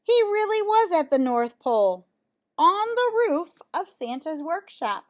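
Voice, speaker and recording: A woman with a fairly high voice reads a children's story aloud at a very slow pace. Her pitch moves up and down a great deal. She breaks from the text for casual comments and questions to her young listeners. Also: very animated. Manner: pauses to comment on pictures and ask listeners questions. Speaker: storyteller reading a children's picture book aloud.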